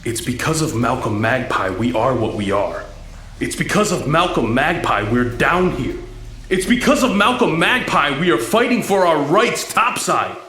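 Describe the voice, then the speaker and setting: An earnest cartoon mutant, the conscience of his crew, speaks emphatically. His highish voice rises and falls freely in an echoing space. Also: expressive, normal speed, pushed and bright. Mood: earnest, firm. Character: principled, pleading male mutant, the group's conscience.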